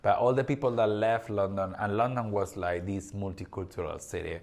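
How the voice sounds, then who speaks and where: Spanish-accented male podcast co-host, lowish voice, animated storyteller. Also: fairly quick. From Spain. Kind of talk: casual chat.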